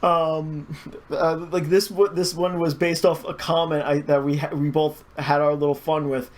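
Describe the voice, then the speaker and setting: A man hosting a casual podcast interview, speaking informally in a halting way. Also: on the high side, little pitch movement. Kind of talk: casual chat.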